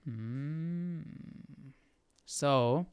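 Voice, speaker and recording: A man's voice: fairly high pitch, extremely slow, clean tone. A man hosting an informal Bible study, thinking aloud in a hesitant, reflective way. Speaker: live Bible study host.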